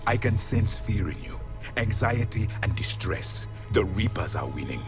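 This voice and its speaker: Proud alien survivor, low voice, swinging intonation, disdainful, clipped pronouncements, video game. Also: male voice, expressive, a little slow, over music. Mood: cold, grave. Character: proud, bitter alien warrior, last survivor of his race.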